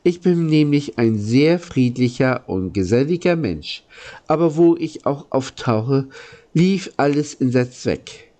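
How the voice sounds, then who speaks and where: A man voicing a gentle, lonely old giant from a storybook, courteous and earnest, with halting phrasing. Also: medium pitch, expressive. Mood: sorrowful, grateful. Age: older.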